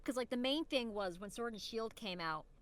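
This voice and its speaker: Bright-voiced young woman chatting enthusiastically. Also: fairly high pitch, lively intonation, moderate pace. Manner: enthusiastic, rambling, peppered with "like". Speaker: voice actor, podcast guest. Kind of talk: casual podcast chat.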